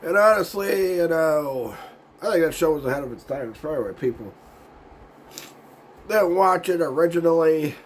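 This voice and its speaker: A man hosting his own video show, with a voice gone raspy and hoarse from a sinus cold, chatting in a loose, meandering way, losing his words and chuckling now and then. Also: on the high side, lively intonation, normal speed, long pauses, a little hiss or hum. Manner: laid-back and meandering, loses his words, chuckles often. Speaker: YouTube show host. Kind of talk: casual rambling vlog chat.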